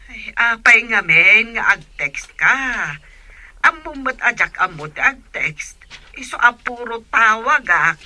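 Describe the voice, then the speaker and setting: Elderly Filipino mother played by a woman, affectionate and ailing, radio serial; unhurried delivery, strikingly bright voice. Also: a little high, animated, exaggerated voice. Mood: coaxing, affectionate. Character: elderly ailing mother, affectionate, coaxing her son to visit. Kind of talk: radio drama acting.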